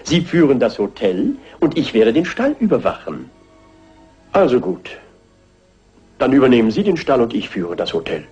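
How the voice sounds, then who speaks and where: An actor playing a gallant, earnest horse-loving gentleman suitor in a German radio crime drama, husky, courtly and sentimental. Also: medium pitch, huge pitch swings, normal speed, pauses often, muffled recording, soft background music, heavily acted. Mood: earnest, courting.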